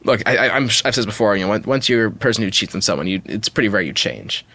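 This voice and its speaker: A man's voice: lowish voice, lively intonation, quick pace, sharp tone, dry recording. Emphatic man in casual podcast chat, dry sound. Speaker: podcast co-host. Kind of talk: casual opinionated discussion.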